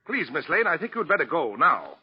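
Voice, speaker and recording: An actor playing a crime boss who poses as a respectable citizen, polite and menacing, in 1940s radio style. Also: male voice, a little high, sing-song, brisk, slightly rough, heavily acted. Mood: flustered, evasive. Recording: dull lo-fi old radio recording.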